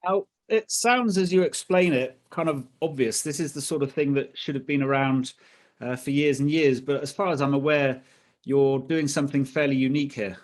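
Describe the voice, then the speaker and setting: A man hosts an interview and asks measured, conversational questions. His voice sits at a middle pitch. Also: fairly even intonation. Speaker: interview host.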